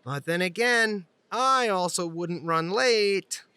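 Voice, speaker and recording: Woman playing a bright, smug, teasing co-worker. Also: on the low side, slow, smooth, dry recording. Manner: mocking, singsong teasing. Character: smug, teasing, needling young co-worker Andy. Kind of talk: radio drama acting.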